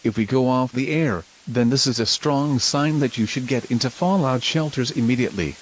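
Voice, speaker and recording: A man playing a local TV news anchor in a scripted emergency broadcast, speaking in a formal, measured way. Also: medium pitch, a little hiss or hum, lightly acted, poor audio. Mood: grave, urgent. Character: local TV news anchor reporting a nuclear attack.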